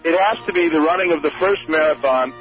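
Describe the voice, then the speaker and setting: A man's voice: on the high side, fast talker, faint music behind. A man from Chicago calling in to a radio show, rambling with lots of stops and restarts and joking along. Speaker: caller. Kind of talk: phone-in chat. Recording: muffled radio broadcast of a phone call.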